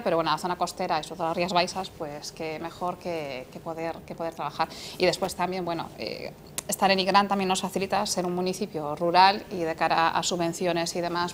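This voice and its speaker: A young woman from Galicia talks with quick, lively energy, stumbling over her restarts as she goes. She sits on a TV debate panel, speaking into a lapel mic, with a faint hiss under her voice. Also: fairly low pitch. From Vigo, Galicia. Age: young adult. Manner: lively, chatty, many restarts. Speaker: startup co-founder and CEO. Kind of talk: casual debate talk. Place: TV studio.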